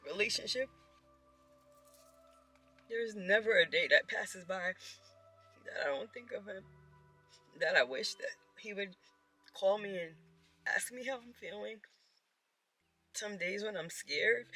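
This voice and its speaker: A woman with a sharply bright, strained voice speaks over clear music. She is vlogging something deeply personal, earnest and exposed, and sounds emotional and close to tears. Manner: earnest, vulnerable. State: emotional, tearful. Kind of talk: emotional personal confession to viewers.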